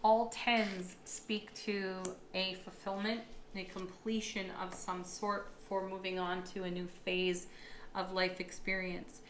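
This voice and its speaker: A woman's voice: mid-range voice, flat delivery, slow. Woman tarot reader, slightly froggy and tired in the voice, upbeat and reassuring, recorded in a roomy space with faint background hum. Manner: upbeat and affirming, with thoughtful asides. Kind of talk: monthly astrology tarot reading. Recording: echoey room with faint ambience.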